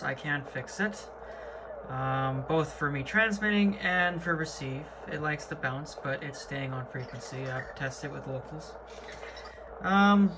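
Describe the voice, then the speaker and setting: A man giving an informal, matter-of-fact, slangy hobbyist tour of his gear in an unscripted way, recorded indoors. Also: on the high side. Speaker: hobbyist presenter. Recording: clearly noisy amateur video recording.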